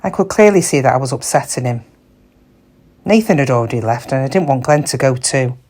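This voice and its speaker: A woman's voice: extremely low pitch, brisk, a little mellow. A middle-aged woman playing a downtrodden shop cashier in a reflective, confiding, plain-spoken dramatic monologue about her regrets. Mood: wistful, sad. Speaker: actor in a monologue. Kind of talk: first-person dramatic monologue.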